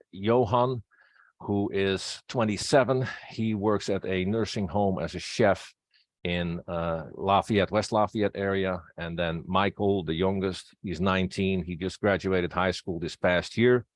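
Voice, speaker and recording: A middle-aged man with a Dutch accent speaks in a low voice. He is a native Dutch speaker presenting a personal introduction to a group. The recording is dry, with little room sound. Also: no echo. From Netherlands. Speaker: presenter, district governor-elect and pastor.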